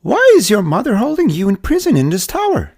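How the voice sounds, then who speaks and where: A woman's voice: medium pitch, huge pitch swings, fairly quick, darkish tone, breathy edge, no room sound, clean studio sound, pitched below the performer's own voice, heavily acted. A woman voicing an earnest, brave young fairy-tale prince in a gallant storybook manner. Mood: indignant, persuasive.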